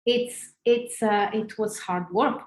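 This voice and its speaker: A woman's voice: on the high side, slow pace. A woman in her forties from northern Italy with an Italian accent, a former ballerina answering interview questions in a hesitant, restarting way. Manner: hesitant, many fillers and restarts. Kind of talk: casual interview conversation. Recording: roomy echo.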